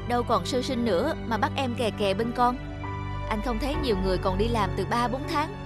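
A woman's voice: a little high, a little fast, close to an everyday voice. Actress as a vain, self-absorbed young mother. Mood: defensive, indignant. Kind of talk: animated cartoon dialogue. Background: music.

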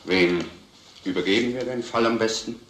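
An actor playing a composed, genial police commandant, courteous and official, in an old crime drama. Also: male voice, on the low side, moderate pace, fairly bright tone, natural voice. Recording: roomy old soundtrack, some noise.

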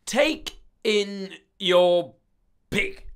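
A man plays a wise old man from a children's storybook. He speaks very slowly, stretching out each calm instruction like an adviser. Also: high-pitched, clear, resonant tone, pitched above the performer's own voice, exaggerated voice. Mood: calmly instructing. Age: older.

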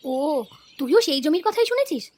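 A woman's voice: very high-pitched, very animated, brisk. A woman playing an anxious, kindly mother-in-law in a cartoon, motherly and fretful. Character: anxious, kindly middle-class mother-in-law of grown son. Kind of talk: cartoon voice acting, domestic moral story.

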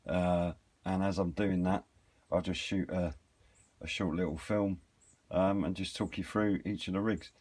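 A British man with a low voice talks through gear for an online video. He is informal and matter-of-fact, feeling his way through an unscripted walkthrough. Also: steady tone, measured pace, a little mellow. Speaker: YouTube presenter of radio equipment videos. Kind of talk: casual unscripted product walkthrough.

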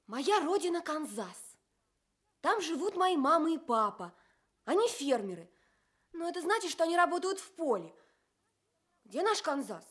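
A woman's voice: high voice, sing-song, moderate pace, long pauses, a bit bright. A woman playing a friendly, open young farm girl in a children's radio play. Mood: bright, proud. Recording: studio radio play with music.

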